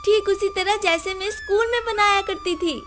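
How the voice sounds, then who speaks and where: A woman playing a brave, caring poor orphan girl in an animated moral story, earnest and hopeful. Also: extremely high pitch, normal speed, pitched above the performer's own voice. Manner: earnest, reassuring. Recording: music behind the voice.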